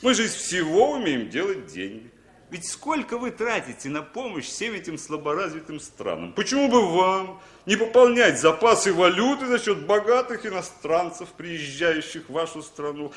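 A worldly capitalist in a vintage radio drama, with a highish, very lively voice in a roomy space. He is jovial, talkative and insistent, doubling his words as he persuades. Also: normal speed. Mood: insistent, persuasive. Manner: chatty, repeats words, chuckling, insistent. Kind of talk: 1960s Soviet radio play acting.

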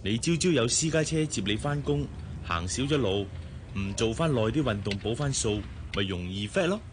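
An actor playing an attentive, teasing middle-aged suitor in a Cantonese radio drama, with a Hong Kong accent. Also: male voice, mid-range voice, expressive, moderate pace, a little hiss or hum, lightly acted. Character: middle-aged married man, attentive, smooth-talking suitor. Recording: radio drama with music underneath. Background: background music.

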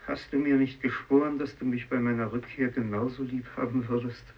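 A man's voice: medium pitch, little pitch movement, fairly quick, lightly acted. An actor playing a sick, rejected fisherman in a German radio drama, speaking plainly and subdued in short sentences. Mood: anxious, hurt. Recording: dull radio drama recording.

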